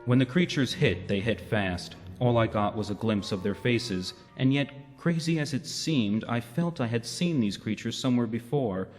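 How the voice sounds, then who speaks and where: A man playing a self-doubting robot who narrates his story in a reflective, measured way in a radio drama. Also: medium pitch, darkish tone, faint music behind, close to an everyday voice. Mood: grave, astonished. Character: self-doubting male robot recounting his own downfall. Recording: long reverberant hall sound.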